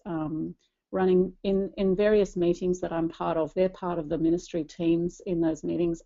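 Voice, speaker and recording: A woman's voice: on the low side, warm tone, dull audio. A woman in her late forties giving a personal, reflective talk as a co-presenter, earnest and conversational. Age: late 40s. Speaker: church ministry leader speaking as co-presenter.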